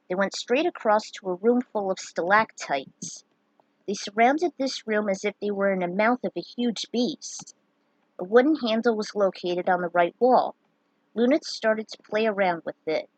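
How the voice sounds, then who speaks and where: A woman's voice: mid-range voice, normal speed, dry recording, poor audio. A woman reading fantasy fan fiction aloud as its narrator. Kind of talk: story narration read aloud.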